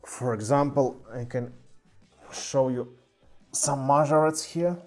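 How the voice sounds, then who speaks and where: A man with a Russian accent casually chatting on a live stream in halting English, with many fillers. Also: mid-range voice, fairly even intonation, soft background music. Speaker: streamer showing toy car collection. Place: indoor room with cluttered table. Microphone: webcam mic.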